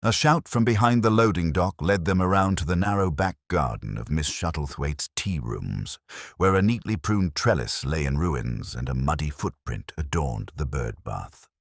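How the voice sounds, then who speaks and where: Very deep, wry male story narrator. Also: animated, no echo, studio quality. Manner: wry, descriptive, measured.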